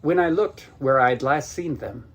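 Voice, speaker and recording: A man's voice: medium pitch, unhurried, gravelly. A man reading a scripted first-person story as an audiobook narrator.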